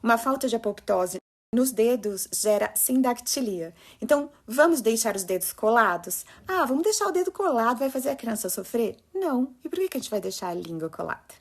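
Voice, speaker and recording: A woman's voice: on the high side, expressive, a little fast. Brazilian woman, health pro, talks urgent and emphatic straight at viewers. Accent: Brazilian Portuguese. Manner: emphatic, urgent, addresses listeners directly. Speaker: health professional giving advice. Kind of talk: passionate talk.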